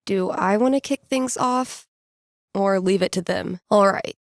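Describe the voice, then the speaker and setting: A geeky young woman from a video game. She talks in a casual, slangy way with a dry, sardonic edge and a playful streak. The sound is close and dry, with a slight creak in the voice. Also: mid-range voice, measured pace, dry recording. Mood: wry, musing. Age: young adult. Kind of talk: naturalistic game voice acting.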